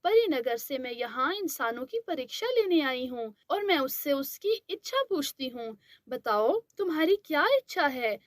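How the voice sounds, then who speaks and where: A woman voicing a gracious fairy who grants wishes in a cartoon story, speaking calmly and reassuringly. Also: high voice, a little slow, hardly any pauses, no room sound, studio quality. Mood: gentle. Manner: calm, reassuring.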